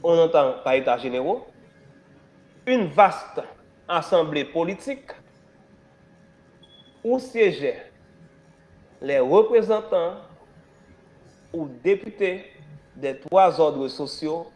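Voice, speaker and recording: Hoarse male teacher with a cold. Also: fairly high pitch, lively intonation, slow pace, pauses often, a little mellow. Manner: repetitive, spells words out letter by letter; emphatic rising stresses, rhetorical questions. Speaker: history teacher. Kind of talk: classroom dictation lesson. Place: classroom.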